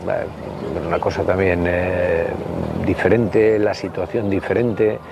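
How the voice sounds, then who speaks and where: A man in his sixties with a Basque Spanish accent, rambling and halting as he casually tells anecdotes in an interview. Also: sits low, little pitch movement, gravelly, muffled recording, background noise. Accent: Spanish from the Basque Country. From Basque Country, Spain. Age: 60s. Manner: rambling, halting, anecdotal, peppered with tag questions. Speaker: interview guest, political party member.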